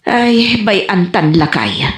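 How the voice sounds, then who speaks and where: A woman's voice: mid-range voice, big pitch swings, takes it slowly. A woman playing a scolding, easily embarrassed village wife in an Ilocano comedy radio drama, with an Ilocano accent. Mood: exasperated. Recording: roomy echoey sound.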